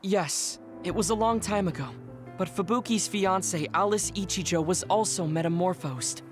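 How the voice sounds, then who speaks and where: Lady acting composed young female officer in a game, serious, polite, level delivery, music clearly behind. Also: fairly low pitch, moderate pace, natural voice. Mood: matter-of-fact. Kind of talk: English video game voice acting. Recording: clear music underneath the dialogue.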